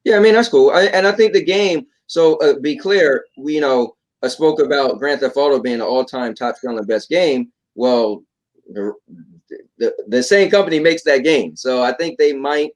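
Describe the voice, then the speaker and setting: A man's voice: medium pitch, moderate pace, poor audio. Man in rambling, casual conversation. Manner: rambling, repeats himself, checks with "right?" and "you know". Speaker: talk show panelist. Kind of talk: casual chat about games and films.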